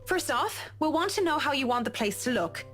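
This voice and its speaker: Polite, measured interior designer. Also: female voice, sits high, expressive, fairly bright tone. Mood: professional. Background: game music.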